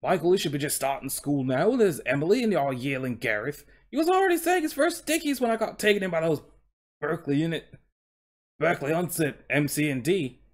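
High-pitched proud Cockney talking horse. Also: male voice, very animated, a little fast. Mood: wistful, chatty. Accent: put-on Cockney. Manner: colloquial, deferential, boastful working-class patter. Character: proud, chatty, aggrieved male talking coach horse from London.

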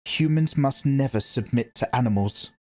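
A man reading aloud and voicing a proud young mother who quietly holds back tears, with a strained manner. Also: mid-range voice, normal speed, voice pushed up, close to an everyday voice.

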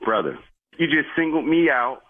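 A man in a spontaneous phone conversation, husky and defensive, stammering and repeating his words, heard over a phone line. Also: a little high, sing-song, normal speed. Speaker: church parking attendant on the phone. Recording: tinny narrowband phone line.

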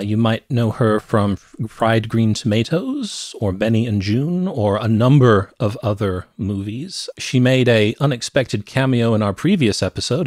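A man's voice: on the low side, a little mellow, dry recording. A middle-aged man co-hosting a conversational movie review podcast, speaking into a close microphone in a small home room. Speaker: podcast co-host. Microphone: close podcast microphone with headset.

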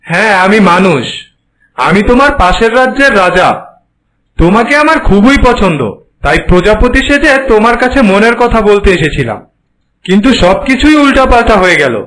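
Cartoon royal suitor in butterfly disguise, male actor: high voice, wide swings, proud and pleading. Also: big pitch swings, moderate pace, a bit bright, rough recording. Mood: earnest. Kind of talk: cartoon voice acting.

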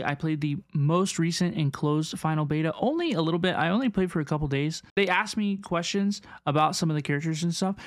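A woman's voice: fairly low pitch, no echo. Casual woman reacting, dry close mic. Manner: informal, hesitant, repeats herself. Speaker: streamer reacting to game trailers.

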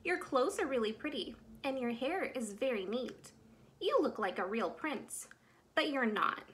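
A woman voicing a bold, flattering young princess from a storybook, in an emphatic, exclaiming manner. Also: high voice, a little slow, a little hiss or hum. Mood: firm.

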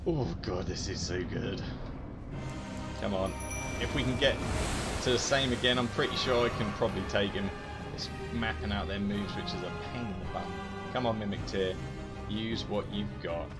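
Male gaming host, lowish voice, casual and excitable play-by-play over loud music. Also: normal speed. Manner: excitable, talking to himself through the play. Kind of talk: casual reactive gameplay commentary.

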